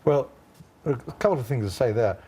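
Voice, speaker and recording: A man's voice: medium pitch, lively intonation, moderate pace, mellow, breathy edge. An older British man giving expert commentary on a news panel, with wry, blunt, colloquial asides. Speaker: former ambassador, studio guest.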